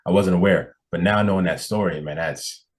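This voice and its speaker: A man's voice: low-pitched, fairly quick. A man hosting a podcast, interviewing in a casual, conversational way with an encouraging, appreciative manner.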